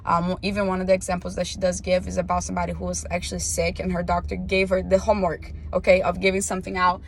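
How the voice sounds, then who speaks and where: Woman with a fading voice, flat-toned. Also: on the low side, fast talker, gravelly, slight background noise. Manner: chatty, many fillers and restarts. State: losing her voice. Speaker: vlogger leading an online book club. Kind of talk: casual chat.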